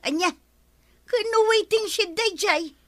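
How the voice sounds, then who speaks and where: An actress playing a nagging, worried farmer's wife in an Ilocano radio comedy drama. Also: female voice, very high-pitched, measured pace, a bit bright, no echo. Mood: questioning.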